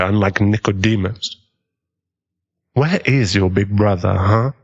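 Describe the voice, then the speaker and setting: A man playing an earnest, kindly government agent in an audio drama, with a measured, avuncular way of talking. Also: lowish voice, highs cut off.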